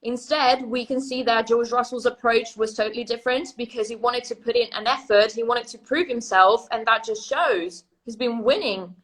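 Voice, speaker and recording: A woman in her twenties, a native Italian speaker, hosts a casual live chat over a video call. Her voice sits a little high, and she keeps the talk lively with frequent laughs. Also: normal speed, few pauses, poor audio. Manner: laughs often, lively and friendly. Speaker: host and chief editor.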